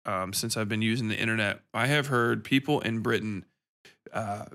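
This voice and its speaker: Bright-voiced American man rambling as podcast host. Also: fairly low pitch, steady tone, normal speed. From Cincinnati, American Midwest. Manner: irreverent, sweary, self-deprecating. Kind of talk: casual rambling solo chat.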